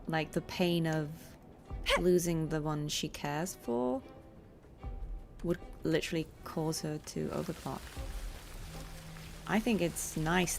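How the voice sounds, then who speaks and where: A woman streaming a game with casual, reflective commentary. Her voice sits low with a clear creak to it, and music plays behind her.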